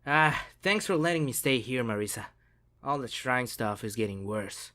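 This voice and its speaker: A woman voicing a weary, exasperated young shrine maiden in animated series dialogue. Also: extremely low pitch, normal speed.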